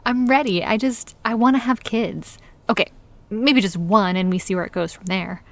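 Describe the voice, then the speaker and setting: A woman's voice: mid-range voice, moderate pace, no echo, natural voice. An actress playing an independent, teasing woman with a casual lover in an audio drama, dry and frank.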